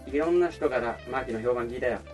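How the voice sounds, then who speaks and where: A man playing a pushy, confident, cheerful young man in a radio drama, speaking in casual, commanding rough masculine language. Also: mid-range voice, fast talker, close to an everyday voice. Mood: approving. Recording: distant-sounding voice over music.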